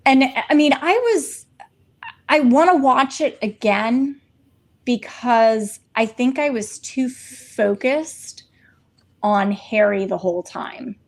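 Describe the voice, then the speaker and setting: A woman from Virginia, co-hosting a podcast in lively, casual chat. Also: a little high, slow pace. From Virginia, Shenandoah Valley. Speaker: podcast co-host.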